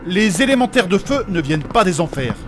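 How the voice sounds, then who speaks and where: A prim, devout, cautious male mage acted by a man for a fantasy comedy audio drama. He speaks formally and fussily in a raised pitch. Music runs clearly beneath his earnest lines. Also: very animated, fairly quick. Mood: insistent, explanatory. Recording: voice over prominent music bed.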